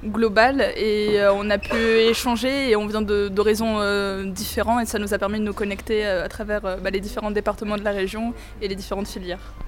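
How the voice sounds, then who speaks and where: Teenage female juror, flat even delivery. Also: medium pitch, fairly quick, hardly any pauses. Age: teens. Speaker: student juror.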